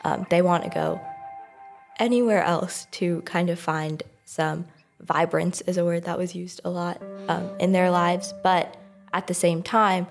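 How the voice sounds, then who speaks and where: A woman in her twenties from Milwaukee talks about her impressions in a casual, thoughtful way. Her voice is fairly low and carries a gravelly fry. Faint music sits behind her. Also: fairly even intonation, a little slow. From Milwaukee, Wisconsin. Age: 20s. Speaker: student intern. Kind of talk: conversational interview.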